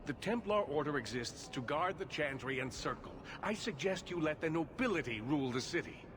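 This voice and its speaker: A man plays an impassioned leader of mages in a fantasy game. His voice is on the high side, formal and urgent, as if making a public accusation. Noise is clearly audible behind the speech. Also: expressive, fairly quick, natural voice. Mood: indignant. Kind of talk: dramatic fantasy video game acting.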